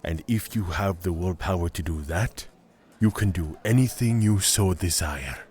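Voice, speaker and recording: A haughty cleric of order, played by a man in a fantasy audio drama. The voice is very low, and the delivery is formal, rigid and sure of itself. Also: moderate pace, a little mellow, natural voice. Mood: proud, composed. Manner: formal, self-assured, matter-of-fact.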